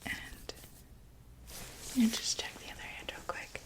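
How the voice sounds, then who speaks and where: A woman whispering softly in a breathy ASMR medical roleplay, gently and tentatively talking through each step as a doctor. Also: measured pace. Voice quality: breathy, mostly unvoiced whisper.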